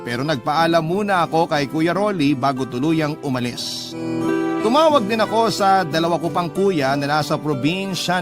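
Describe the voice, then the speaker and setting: A man narrating a letter as a radio love-story storyteller, in a measured, sentimental, dramatic way. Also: a little high.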